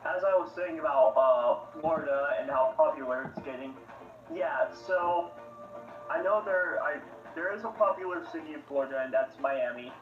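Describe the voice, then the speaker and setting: A man's voice: fairly high pitch, normal speed, soft background music. A man chatting on a call, hesitant and full of fillers, giving blunt opinions. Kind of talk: casual chat. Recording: tinny echoey call audio from afar.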